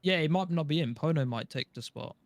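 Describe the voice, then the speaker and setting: A man's voice: on the high side, brisk, gravelly, no echo. A young man giving casual opinions as a panelist on a podcast. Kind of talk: casual chat.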